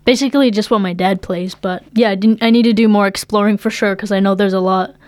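A woman's voice: medium pitch, steady tone, fast talker, darkish tone, no echo. A young woman with a New Zealand accent, talking informally and with slang in a casual interview as a musician guest. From Philippines, moved to New Zealand at eight.

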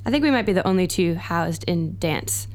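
Woman, American accent, young adult, easygoing interview guest, quick to laugh, slightly low pitch. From United States. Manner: laughs easily, relaxed and chatty. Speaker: guest researcher. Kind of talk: casual interview chat.

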